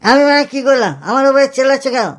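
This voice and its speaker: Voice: sits high, quick pace, noisy background, natural voice. A performer voicing a cheeky, whiny, foul-mouthed village boy in a comedy cartoon, with a West Bengal Bengali accent. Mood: whiny, protesting. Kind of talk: amateur comedy cartoon dubbing.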